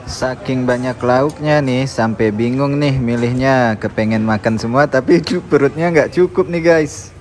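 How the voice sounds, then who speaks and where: A man's voice: mid-range voice, a little slow, darkish tone, a little hiss or hum. A man narrating a food tour, cheerfully listing the dishes on offer. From Indonesia. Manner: cheerful, lists dishes to viewers.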